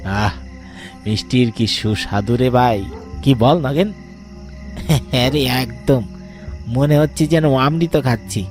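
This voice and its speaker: A man voicing a cautious, nervous young man in a cartoon ghost story, with an urgent, expressive manner. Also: medium pitch, slow, pauses often, faint music behind, natural voice. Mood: relieved.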